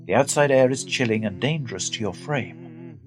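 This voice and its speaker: An actor playing an anxious, reasonable gentleman in a radio drama, speaking in an earnest, formal, theatrical way. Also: medium pitch, expressive, normal speed, faint music behind, close to an everyday voice. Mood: soothing concern. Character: anxious, reasonable gentleman soothing his frightened friend.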